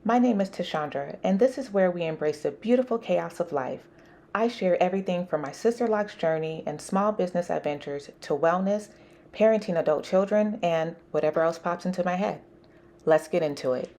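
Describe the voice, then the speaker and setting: A woman vlogger chatting casually through a purse unboxing, in an even, monotone manner with frequent pauses. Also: lowish voice. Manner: monotone, self-described.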